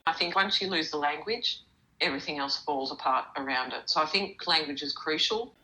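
A woman giving measured, explanatory answers as an interviewee. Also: low voice, bright, forceful tone, low-quality recording.

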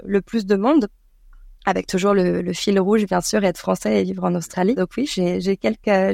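A woman from France with a French accent, answering interview questions conversationally and thoughtfully, often pausing mid-sentence. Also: medium pitch, creaky, a little mellow, dry recording, clean studio sound. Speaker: podcast creator being interviewed.

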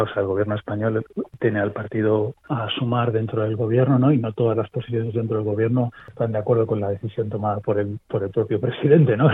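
Spanish guy, lowish husky voice, stop-start thinking, dry tinny call audio. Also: no room sound. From Spain. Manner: thoughtful, restarts often. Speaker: guest commentator. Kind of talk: casual interview talk. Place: remote call from abroad. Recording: phone-like remote line.

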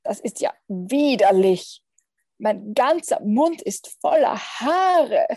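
An eager little vampire child from a storybook, played by a woman. The voice is lifted and childlike, with a mellow, slightly husky edge, earnest and exclaiming. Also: a little high, animated, takes it slowly, dry recording, studio quality, full character voice. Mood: disgusted.

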